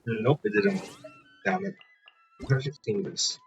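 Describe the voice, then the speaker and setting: A man's voice: mid-range voice, sing-song, a little slow. A man streaming a video game with casual commentary, muttering short reactions to himself. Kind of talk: casual let's play commentary. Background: faint game music.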